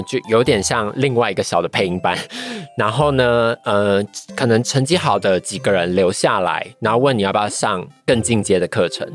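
Young Taiwanese podcast guest, careful and reflective in answering, music in the background. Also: mid-range voice. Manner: thoughtful, careful, qualifying. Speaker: guest, voice-acting trainee. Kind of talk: casual podcast interview answers.

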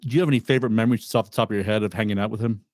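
Quick-talking male podcast host. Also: fairly low pitch, darkish tone, no room sound. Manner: brief, prepared questions. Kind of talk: interview questions.

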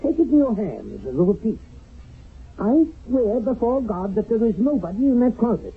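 A man acting a jealous, commanding husband in a radio drama, speaking in a formal, measured and demanding way. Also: very high voice, sing-song, slightly rough, exaggerated voice. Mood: cold, accusing. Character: jealous, commanding husband confronting his wife. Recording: muffled phone-like old radio broadcast.

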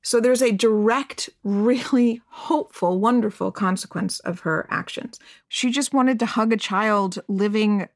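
A woman's voice: medium pitch, measured pace. Earnest woman explaining history. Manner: earnest, articulate, emphatic. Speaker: podcast guest.

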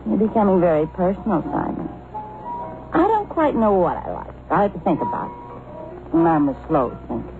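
A woman's voice: fairly low pitch, sing-song, normal speed. An actress playing a brassy, sardonic, tipsy good-time woman in an old radio drama, drawling and teasing. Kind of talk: 1940s-50s radio drama acting. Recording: muffled old radio recording, music behind.

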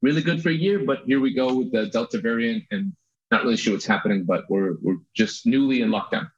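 Husky American man, lo-fi sound. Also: mid-range voice, fast talker. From USA. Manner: relaxed storytelling with frequent restarts and soft laughs. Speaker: guest photographer. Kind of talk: casual interview chat. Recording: dull lo-fi audio.

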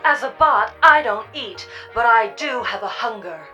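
A woman playing an eager robot attorney, speaking like a brisk announcer in a parody advertisement. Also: on the high side, lively intonation, bright, forceful tone, dull audio, faint music behind. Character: eager female robot attorney, justice-hungry. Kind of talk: parody advertisement with rattled-off disclaimer.

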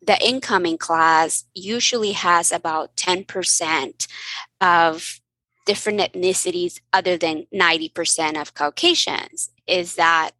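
A woman from Mexico, Spanish her first language, tells animated stories in a casual interview. Her voice is bright and a little low for a woman, recorded dry and close with no room around it. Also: measured pace, no room sound, rough recording. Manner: animated, laughing storyteller. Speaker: guest physician.

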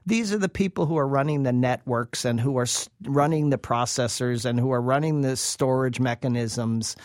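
A man's voice: mid-range voice, a little mellow, no room sound, studio quality. A man speaking as an expert interview guest, explaining in an emphatic way and repeating phrases for weight. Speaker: interview guest, researcher presenting a study. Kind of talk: interview commentary.

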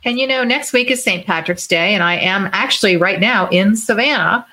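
A woman's voice: medium pitch, moderate pace, sharp tone, low-quality recording. An American woman co-hosting a live show, making casual announcements and chatting. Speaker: co-host.

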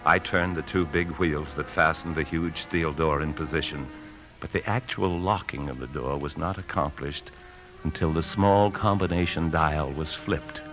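A man's voice: extremely low pitch, moderate pace, a bit bright. An American man acting in a 1950s radio drama as an anxious bank officer secretly stealing a fortune, tense and confiding as he narrates. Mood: tense narrating. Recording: 1950s radio broadcast with music.

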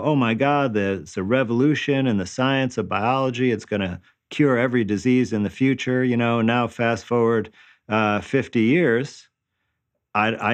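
A man in his fifties answering interview questions conversationally, explaining things and often checking for agreement. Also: on the low side. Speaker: interview guest, natural healing practitioner.

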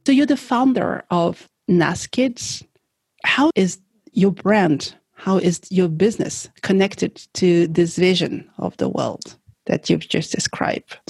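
A woman's voice: lowish voice, takes it slowly, creaky, darkish tone, slightly rough, dry recording. A woman hosting an interview, reading a scripted introduction, then asking questions as an attentive, encouraging listener. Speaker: podcast host.

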